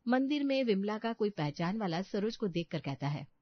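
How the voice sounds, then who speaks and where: A woman's voice: medium pitch, lively intonation, moderate pace, lo-fi sound. A woman reading a scripted story as narrator.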